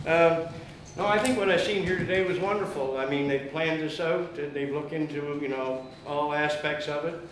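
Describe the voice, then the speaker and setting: A man speaks loudly and fervently to a meeting, rallying the listeners and peppering his talk with fillers. His voice sits high. The room echoes heavily around him, with a faint hiss underneath. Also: normal speed, few pauses. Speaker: guest speaker at an activist meeting. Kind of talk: impassioned public speech.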